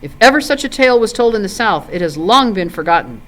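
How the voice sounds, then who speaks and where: A woman's voice: mid-range voice, very animated, fast, fairly bright tone. A woman reading the part of a proud male warrior from the South in a storybook, forthright and formal in archaic phrasing. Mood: surprised. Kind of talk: storybook character in read-aloud.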